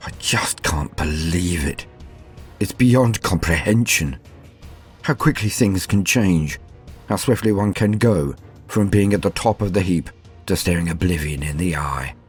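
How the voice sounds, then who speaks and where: Man playing a haunted, low-voiced starship captain. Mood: stunned disbelief. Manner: confessional, formal, halting then hurried. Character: shaken, haunted male starship captain on first command. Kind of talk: dramatic first-person horror audio tale. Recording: music under the voice.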